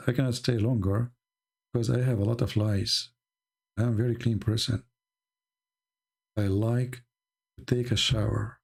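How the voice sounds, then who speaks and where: A man hosting a livestream, sounding mocking, sarcastic and short on patience. His voice sits at an ordinary pitch but carries a gravelly fry and a husky, rough edge. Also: a little mellow. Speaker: livestream host. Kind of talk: argumentative livestream talk.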